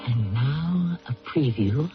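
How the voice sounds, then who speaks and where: A man's voice: medium pitch, lively intonation, slow, vocal fry, music in the background. A man narrating and announcing for a radio drama in a formal, scripted, declarative style. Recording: dull old radio broadcast.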